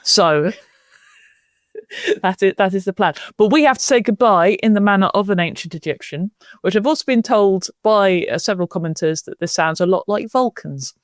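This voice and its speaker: A woman hosts a casual podcast in a mid-pitched voice. She talks in an informal, jokey and animated way. The sound is dry, with no room around her. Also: moderate pace, dry recording. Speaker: podcast host. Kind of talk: casual, chatty podcast talk.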